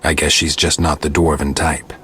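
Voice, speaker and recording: A man playing a stoic, terse monster hunter in a video game, speaking in a dry, questioning way with a husky rasp. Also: rumbling low, flat delivery, normal speed, bright, forceful tone, faint music behind. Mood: terse, reserved. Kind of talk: video game dialogue acting.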